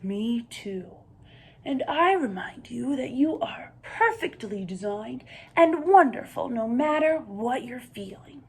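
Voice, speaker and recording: A woman playing a gentle, loving father in a picture book, soft and calmly reassuring. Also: fairly high pitch, slow, breathy edge, pitched below the performer's own voice, exaggerated voice. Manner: soft, calm, assuring.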